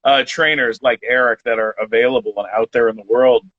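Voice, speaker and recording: A man in his forties, a podcast guest chatting casually, rambling and halting with many restarts. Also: mid-range voice, fairly even intonation, no echo. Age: 40s. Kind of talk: casual chat. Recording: dull lo-fi sound.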